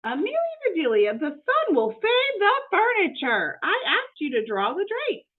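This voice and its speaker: Woman acting a shrill, aghast lady of the house. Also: wildly swooping pitch, unhurried, full character voice. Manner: dramatic, exclaiming, aghast. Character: exasperated well-to-do lady of the house, easily shocked. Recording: muffled narrow phone-like audio.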